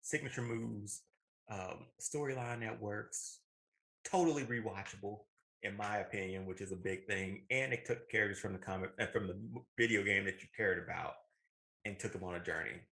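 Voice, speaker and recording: American man, 30s, movie show co-host. Bright, punchy voice, emphatic opinions, casual and enthusiastic delivery. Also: on the low side, moderate pace, creaky, low-quality recording.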